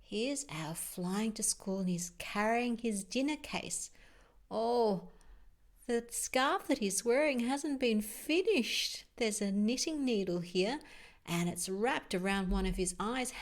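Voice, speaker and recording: A woman's voice: a little high, lively intonation, slow. A woman reading a picture book aloud to children, adding chatty asides about the pictures and putting questions to her young listeners.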